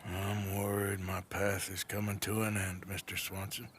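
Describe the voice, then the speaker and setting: A man's voice: deep voice, little pitch movement, normal speed, fairly bright tone, slight background noise. A man playing a weary, loyal, self-doubting outlaw gunman with an American accent and a terse drawl in a video game. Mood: weary, resigned. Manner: drawling, plain-spoken, terse. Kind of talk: video game cinematic acting.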